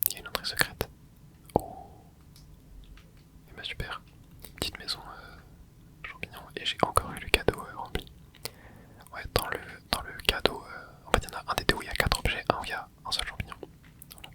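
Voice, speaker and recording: Young man whispering gentle gameplay commentary, hushed and soft throughout, with excited little asides. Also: fairly quick, long pauses. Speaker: gaming ASMR creator.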